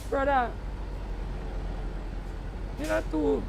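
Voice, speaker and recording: A man's voice: very high voice, fairly even intonation, very slow, creaky, noisy background. A man with a Tobagonian accent, hosting a gaming live stream and talking in rambling, ranting Creole speech. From Tobago, Trinidad and Tobago. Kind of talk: casual live-stream chat while gaming.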